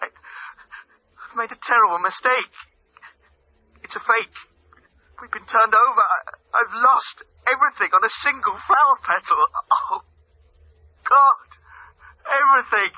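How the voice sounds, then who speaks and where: A man playing an anxious, despairing art buyer in a radio drama, speaking in an agitated, halting, plaintive way. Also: extremely high pitch. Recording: roomy, dull radio drama phone scene.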